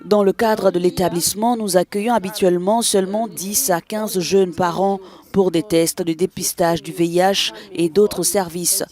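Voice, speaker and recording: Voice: medium pitch, darkish tone. A narrator reading scripted voice-over for television news reports.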